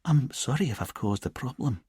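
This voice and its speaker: A man puts on a playful, self-assured woman in her forties. Her Edinburgh accent lilts, pitched up and husky, in a storybook drama. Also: expressive, brisk, darkish tone, dry recording, studio quality. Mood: apologetic, playful. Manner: lilting.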